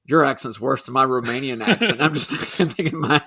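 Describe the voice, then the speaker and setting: A man's voice: medium pitch, bright, forceful tone, slightly rough. A man co-hosting a podcast, chatting casually about a movie with wry quips. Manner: wry, quick quips, laughs along. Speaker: podcast co-host.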